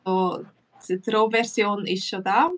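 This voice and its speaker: A woman's voice: medium pitch, a little slow, noisy background. Brief: woman, Swiss German accent, easygoing teacher-host, chatty with fillers, sounding a bit distant from the mic. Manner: relaxed, chatty, many fillers, laughs often. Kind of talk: casual live chat.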